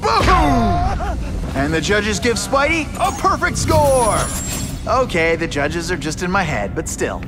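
Young video game superhero, playful wisecracks, animated pitch, loud music behind. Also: male voice, a little slow. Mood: cocky, joking. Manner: quippy, playful, cocky.

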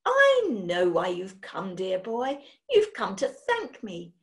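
A woman voicing an eccentric, brisk witch who gushes and turns theatrical, rising to outraged squeaks. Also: huge pitch swings. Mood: gushing.

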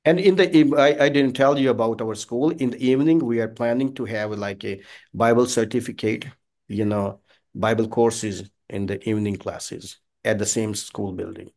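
A middle-aged man from Pakistan, a native Punjabi speaker with a Pakistani accent, chatting as an interview guest in halting, non-native phrasing. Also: lowish voice, darkish tone. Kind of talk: casual conversational interview. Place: small indoor office, on a video call. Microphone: video call.

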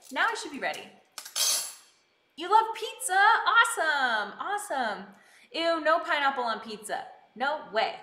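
Woman, live streamer, bubbly casual chat, very lively intonation, roomy echoing kitchen sound. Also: on the high side. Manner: bubbly, giggly, exclaiming greetings. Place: indoors in a kitchen.

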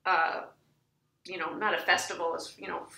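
A woman speaks as a guest in a casual interview, bright and crisp in tone. She answers in an upbeat way, often with quick affirmations. An echoey room surrounds her voice. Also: on the low side, flat delivery. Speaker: violist and composer, guest.

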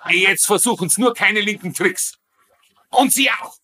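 An actor playing a flustered, blustering army prison guard with a Bavarian-Austrian accent, excitable and folksy in a comedy dub. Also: male voice, sits high, measured pace. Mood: indignant. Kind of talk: German comedy dub acting.

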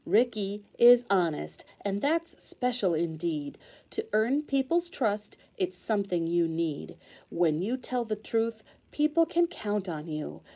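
A woman teacher reading a children's storybook aloud in an expressive sing-song way with clear emphasis. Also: mid-range voice, takes it slowly.

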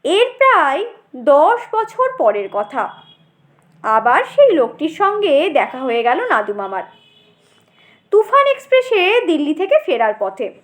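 High-pitched, slow, animated woman storyteller. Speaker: storyteller, audiobook narrator. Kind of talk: expressive scripted story narration in a humorous tone.

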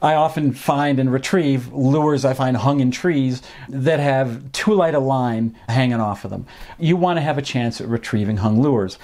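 Middle-aged man explaining in measured phrases. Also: mid-range voice, a little mellow. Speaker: fishing instructor and presenter. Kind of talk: informal instructional talk to camera. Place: small home office, indoors.

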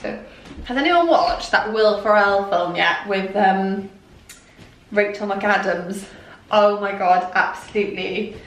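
A woman's voice: medium pitch, takes it slowly, a bit bright, far from the mic, highs cut off. Bubbly Welsh woman, roomy sound. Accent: slight Welsh. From Wales. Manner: chatty and bubbly, rambling asides. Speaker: fashion vlogger. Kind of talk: casual haul chat to camera. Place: small bright room indoors, in front of a door. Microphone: camera a few feet away. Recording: roomy sound, mic set back.

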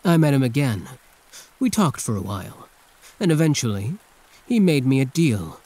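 A man's voice: mid-range voice, very animated, normal speed, darkish tone, dry recording, rough recording. A man playing a condescending yet protective hero turned villain in an intimate, theatrical ASMR roleplay monologue. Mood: earnest, confiding.

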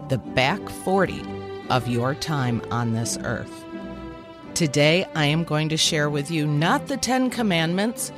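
A woman in her fifties, a podcast host, delivering a mock commencement speech in a measured, deliberate way with emphatic pauses. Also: deep voice. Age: 50s. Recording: music bed underneath.

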